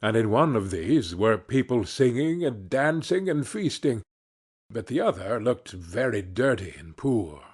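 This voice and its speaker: A man reading a fairy tale aloud as a storyteller, in a measured, expressive way. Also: medium pitch, husky. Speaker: storyteller, podcast narrator. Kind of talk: scripted fairy tale narration.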